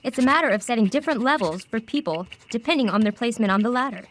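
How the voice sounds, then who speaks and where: Woman playing higher-voiced dramatic parody news anchor. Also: expressive, quick pace, a little hiss or hum, lightly acted. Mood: ominous. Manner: emphatic, declamatory, mock-serious. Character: dramatic female news anchor announcing scandal and doom. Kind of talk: parody news reading in audio play.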